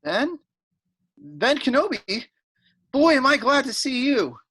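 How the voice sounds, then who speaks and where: Woman playing an animated, bright, husky farm boy. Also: high-pitched, slow pace, heavily acted. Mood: eager, curious. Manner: earnest, expressive. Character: eager, earnest young farm boy. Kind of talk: script table read acting.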